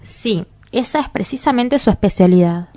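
A woman's voice: mid-range voice, expressive, moderate pace, warm tone, close to an everyday voice. A young Argentine woman playing a blunt, evasive, exasperated daughter and lover in a radio play, curt and offhand.

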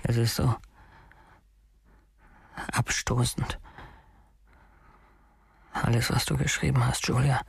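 A man's voice: medium pitch, little pitch movement, slow pace, vocal fry, pauses often, breathy edge, full character voice. An actor playing a deranged, obsessive male killer in his thirties in a German radio crime drama, pleading intimately and often sinking toward a whisper. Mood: disgusted, accusing. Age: 30s.

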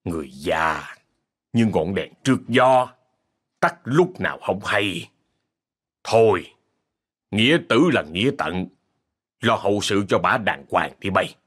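A man voicing an elderly village undertaker who talks slowly, in a weary, folksy murmur. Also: low-pitched, lively intonation, fairly bright tone, breathy edge, pitched below the performer's own voice, full character voice. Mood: resigned. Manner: slow, murmuring, sighing.

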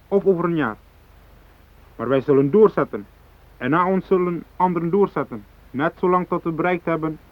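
An actor in a radio drama playing a fanatical, unyielding hijacker who speaks in cold, threatening declarations. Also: male voice, on the high side, natural voice. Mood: grim conviction. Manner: declarative, threatening, ideological speechmaking. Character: fanatical South Moluccan hijacker, unyielding, cold, righteous militant. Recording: thin phone-like radio drama recording.

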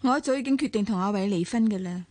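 An actress with a Hong Kong accent playing a composed, perceptive young woman in a radio drama, speaking in a measured, probing way. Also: medium pitch, darkish tone, no echo, natural voice. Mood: calm, probing. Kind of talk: Cantonese radio drama acting.

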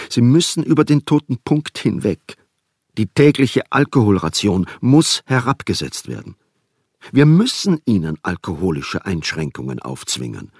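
A man's voice: sits low, slightly rough, no echo, clean studio sound, heavily acted. An actor playing a caring, cultivated literary friend who reads his letters emphatically and articulately in a radio play.

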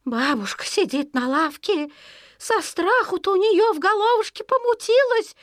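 Female storyteller, high and animated, singsong folksy delivery for a children's folk tale. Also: no room sound, studio quality. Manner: singsong folksy storytelling with drawn-out repeated words. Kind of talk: expressive scripted narration of a children's folk tale.

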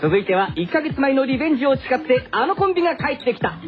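An excited gong show announcer. The voice is high and lively, hyping up comedy acts with music behind it on radio. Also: fast talker. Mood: hyped. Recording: dull radio recording.

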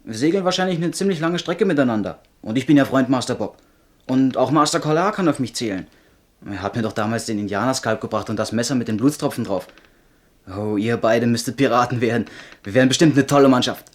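A man playing an eager, cheeky young ship's boy in a 1970s radio drama, excited, chatty and boastful. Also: mid-range voice, brisk, natural voice.

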